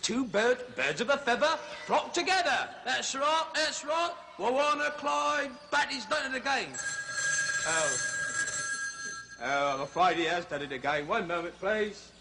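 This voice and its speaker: A man's voice: very high-pitched, unhurried, creaky, noisy background. A man playing a fussy, chattering comic zoo show host. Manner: excitable, chattering, fussy showman.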